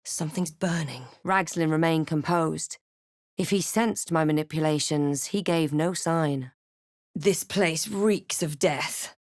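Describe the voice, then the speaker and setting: A woman voicing a wary, composed adventurer heroine for a fantasy video game, with measured, dramatic delivery. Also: low-pitched, a little mellow, no echo, clean studio sound. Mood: grave, composed.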